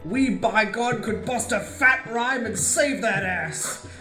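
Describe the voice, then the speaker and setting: A woman playing a scrappy, excitable male plumber hero with folksy street-tough bravado in a comic audio play. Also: fairly high pitch, slow. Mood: cocky. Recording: echoey room with music underneath.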